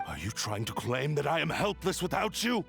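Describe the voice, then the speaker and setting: A man's voice: a little high, very animated, moderate pace. An actor voicing an arrogant cursed blade spirit that possesses a man, speaking in menacing, grandiose proclamations. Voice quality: gravelly, commanding. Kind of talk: game voice acting. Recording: game soundtrack music behind.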